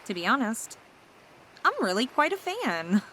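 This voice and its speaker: A woman's voice: a little high, unhurried, a little hiss or hum, full character voice, poor audio. Woman playing a teasing yandere mermaid. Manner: teasing, flattering, coaxing. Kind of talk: ASMR roleplay audio.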